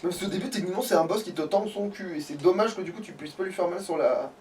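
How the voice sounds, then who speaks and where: A man with a high-pitched voice gives casual let's play commentary. He rambles and grumbles in exasperation as he plays. He is picked up from a distance, with faint noise behind him. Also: quick pace, a little mellow. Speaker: let's player.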